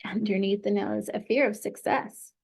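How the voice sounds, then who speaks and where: A woman's voice: mid-range voice, steady tone, normal speed, gravelly, darkish tone, slightly rough. Encouraging woman guiding a tapping session. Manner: encouraging, leads phrases for repeating. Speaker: tapping practitioner.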